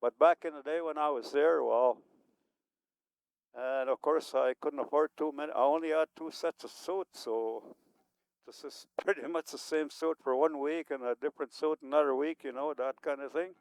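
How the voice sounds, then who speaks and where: A man's voice: a little high, moderate pace, creaky, smooth, dry recording, low-quality recording. A man in his seventies giving rambling, unscripted remarks at a community event, chuckling often as he talks. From Manitoba, Canada. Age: 70s.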